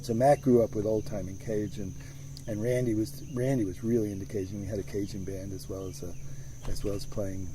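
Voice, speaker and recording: A man with a lowish, very mellow voice talks through his music at an easy, rambling pace, often circling back and restarting his sentences. He is recorded outdoors in the countryside, with crickets faintly audible behind him. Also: fairly even intonation, brisk, slight background noise, rough recording. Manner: thoughtful, rambling, many restarts. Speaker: musician interviewee. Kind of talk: relaxed conversational interview.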